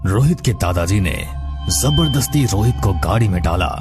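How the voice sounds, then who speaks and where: Low-pitched man narrating horror. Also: expressive, moderate pace, over music. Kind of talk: scripted horror story narration.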